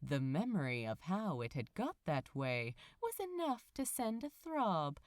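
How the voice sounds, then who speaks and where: A woman reading fiction aloud as an expressive, deliberate audiobook narrator. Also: low voice, no room sound, clean studio sound. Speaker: podfic narrator.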